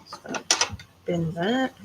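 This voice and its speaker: A woman with a well-spoken Australian accent from Melbourne, chatting calmly on a live stream as she sculpts a doll and narrates each step. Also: fairly low pitch, extremely slow, very noisy. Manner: talks calmly while working, with quiet asides about each step. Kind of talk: casual live-stream chat while sculpting.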